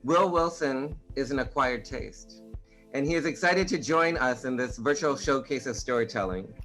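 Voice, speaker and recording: A man hosting an event, reading a guest's introduction slowly and deliberately. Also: mid-range voice, a bit bright, soft background music, low-quality recording. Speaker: event host. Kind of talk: scripted introduction.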